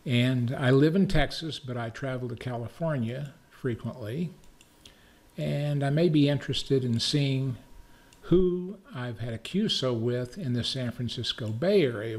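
A man with an American accent narrating a screencast software tutorial in a measured, methodical, step-by-step way. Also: mid-range voice, vocal fry. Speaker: tutorial presenter, amateur radio operator.

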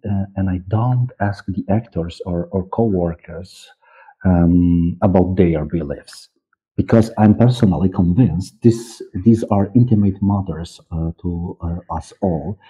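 A middle-aged man with a Polish accent, a native Polish speaker, giving interview answers haltingly as he searches for words. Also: lowish voice, mellow, slightly rough. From Poland. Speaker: guest, audiobook director and producer. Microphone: headset on a video call. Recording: muffled, narrow-band video call audio.

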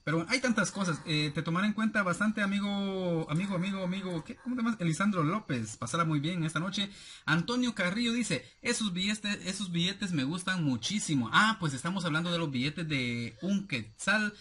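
Male vlogger, thirties, Guatemalan accent. Slightly high, husky voice; loose, familiar delivery while reading viewer comments aloud. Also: a little fast, pushed and bright. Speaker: YouTube vlogger. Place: small cluttered room indoors at home.

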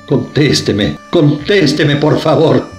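A man's voice: fairly high pitch, lively intonation, slow, a little mellow, echoey room, soft background music. A man voicing a resolute middle-aged son who confronts his mother in a radio drama. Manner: firm, earnest, impassioned.

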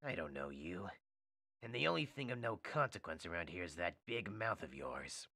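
Guy doing a dark edgy game hedgehog antihero, low n gravelly, grim and terse. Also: very animated, normal speed, dry recording, full character voice. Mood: grim, menacing.

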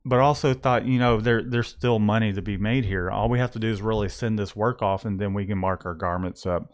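Guy in his 30s talking shop business, low voice, lots of restarts, close mic. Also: steady tone, brisk. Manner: rambling, restarts often, thinks aloud. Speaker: screen printing shop owner and podcast host. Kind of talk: casual business chat. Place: small print shop room. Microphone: studio condenser mic close up, headphones.

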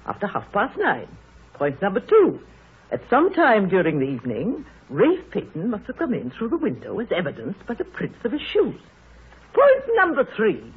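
A woman's voice: mid-range voice, slightly rough, exaggerated voice. An actress playing a nosy, bossy, know-it-all village woman with emphatic, fussy delivery in a 1930s radio drama. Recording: muffled, scratchy 1930s radio broadcast.

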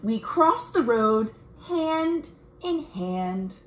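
A young American woman reads a picture book aloud to children, expressive and deliberate, with friendly asides like a storytime librarian. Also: a little high, a little hiss or hum. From United States. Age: young adult. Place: small room at home.

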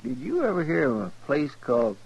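A man playing a simple, amiable marshal's sidekick in a 1950s radio western, naive and halting. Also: fairly high pitch, very animated, creaky, darkish tone, slightly rough, exaggerated voice. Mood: curious. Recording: noisy 1950s radio recording.

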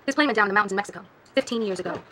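A woman's voice: mid-range voice, rapid-fire, noisy background, natural voice. A woman playing a blunt, insistent female customer in a drama scene, with a dry, teasing manner.

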